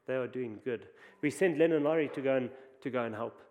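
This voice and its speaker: A man's voice: medium pitch, brisk, narrow, tinny audio. A man with a South African accent, preaching a conversational sermon with frequent restarts. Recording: long hall reverb.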